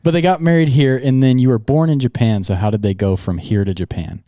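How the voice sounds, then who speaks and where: A man's voice: medium pitch, steady tone, fast, warm tone, no room sound, clean studio sound. A young man from Utah hosting a casual chat, laid-back and slangy, laughing easily. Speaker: podcast host.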